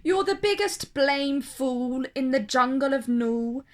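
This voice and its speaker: A woman's voice: high-pitched, expressive, takes it slowly. A woman voicing a sour, scornful mother kangaroo in a storybook, scoffing and haughty.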